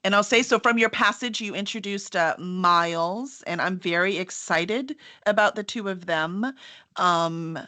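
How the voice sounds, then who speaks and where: A woman with a bright, crisp-edged voice hosts an online book chat. She speaks at a middling pitch in an effusive, encouraging way, like a publisher championing an author in live conversation. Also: measured pace.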